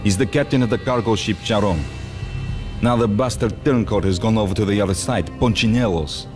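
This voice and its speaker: Man doing a sly mobster with a low voice. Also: brisk, close to an everyday voice. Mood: wry. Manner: wry, showy gangster bravado. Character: Russian arms-dealing mobster, sly and theatrical. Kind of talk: game cutscene dialogue. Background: music.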